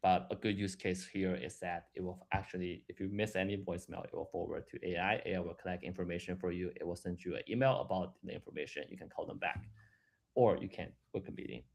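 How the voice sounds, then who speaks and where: Halting, low-voiced man presenting software. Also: creaky. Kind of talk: live product demo, explanatory walkthrough.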